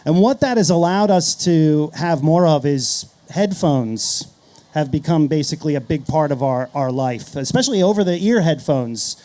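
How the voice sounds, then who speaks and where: A middle-aged man speaking as a panel guest, explaining ideas in a measured, earnest way. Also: fairly high pitch, animated, mellow. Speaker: marketer and podcast creator, panel guest.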